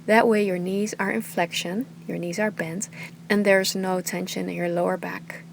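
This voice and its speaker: A woman teaching meditation with a calm, soothing, unhurried delivery that often trails into breathy softness. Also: mid-range voice, slight background noise. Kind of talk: guided meditation, soft slow instruction.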